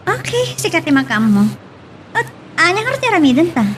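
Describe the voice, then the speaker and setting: A woman's voice: very high voice, very animated, normal speed, slight background noise. A woman playing a chatty young woman in an Ilocano love-story radio drama. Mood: chatty, inquiring.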